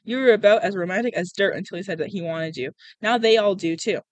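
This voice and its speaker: A reader voicing a gruff, scornful older male mentor in an audiobook-style novel reading. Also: mid-range voice, quick pace, dry recording, close to an everyday voice. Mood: blunt, scornful.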